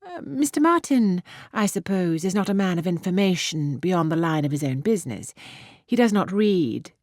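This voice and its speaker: Woman acting a poised, low-voiced snobbish English lady. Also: very animated, a little mellow, no echo, clean studio sound. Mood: probing. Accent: upper-class English. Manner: measured, condescending, poised. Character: self-assured, snobbish young upper-class lady, coolly superior. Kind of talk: classic novel audiobook character voice.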